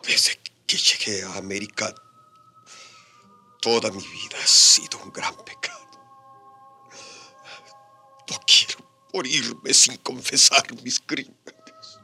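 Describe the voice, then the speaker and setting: An actor playing a gravely wounded, repentant conquistador soldier in a radio drama, with halting, labored speech. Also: male voice, medium pitch, very animated, pushed and bright, full character voice. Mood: tormented, remorseful. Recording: radio drama with music behind.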